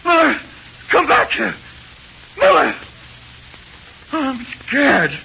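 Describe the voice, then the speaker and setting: A man's voice: very high-pitched, sing-song, very slow, vocal fry, pushed and bright, heavily acted. A man with an American accent playing a tough-talking but easily frightened jailed crook in 1940s radio horror, slangy and tense. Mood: panicked pleading. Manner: slangy, uneducated, tense delivery. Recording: muffled, hissy 1940s radio recording.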